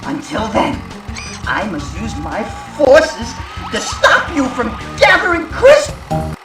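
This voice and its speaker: An actor playing an unhinged rival mad scientist in a video game, a man with an erratic, stammering, halting delivery. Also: extremely high pitch, wildly swooping pitch, creaky, heavily acted. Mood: twitchy, menacing. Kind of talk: video game cutscene voice acting. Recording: roomy, distant, music underneath. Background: music.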